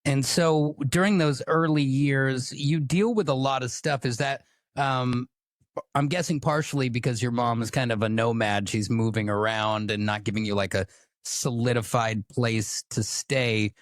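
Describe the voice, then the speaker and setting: A man's voice: medium pitch, fairly even intonation, a little slow, no room sound, studio quality. A man hosting a podcast interview, reading a sponsor plug with an upbeat manner. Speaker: podcast host.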